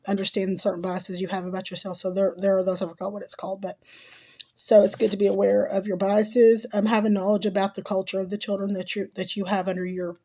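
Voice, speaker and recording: Flat-voiced sick woman losing her voice. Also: medium pitch. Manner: halting, many ums and restarts. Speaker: teacher and graduate student. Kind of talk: recorded class assignment answers.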